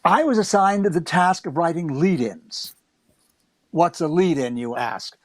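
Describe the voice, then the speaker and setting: A man's voice: a little high, lively intonation, unhurried, no echo, studio quality. A man playing an eager, wryly self-mocking young comedy writer narrating his own story in a radio play. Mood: wry, upbeat. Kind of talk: first-person radio drama narration.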